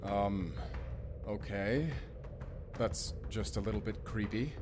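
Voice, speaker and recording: A man's voice: lowish voice, slow, music in the background. A man playing a blunt, wry human warrior in a fantasy audio drama. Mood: wry, uneasy.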